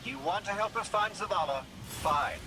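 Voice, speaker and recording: Brash adventurer guy in a game, wry and blunt, lively delivery, really noisy background. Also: high-pitched, moderate pace, vocal fry, exaggerated voice. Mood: suspicious, demanding. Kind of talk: video game dialogue acting.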